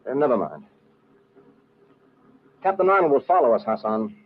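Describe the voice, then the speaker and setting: Man acting wisecracking American tough guy, animated, clipped old radio adventure style, muffled recording. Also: medium pitch, normal speed, a little hiss or hum, close to an everyday voice. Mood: patient, determined. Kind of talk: old-time radio adventure acting with first-person narration.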